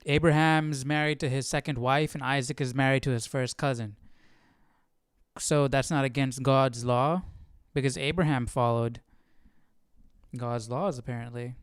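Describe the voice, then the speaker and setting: A man's voice: mid-range voice, fairly even intonation, moderate pace, clean tone, dry recording, studio quality. A man hosting a live Bible study, informal and curious, thinking aloud as he chats and reads. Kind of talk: casual livestream chat and reading aloud.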